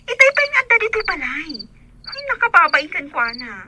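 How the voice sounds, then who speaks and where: A woman's voice: very high voice, big pitch swings, brisk. A woman acting as a fretful, nagging younger sister in an audio drama, with an Ilocano accent. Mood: nagging, teasing. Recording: very noisy, clicks, crickets and frogs.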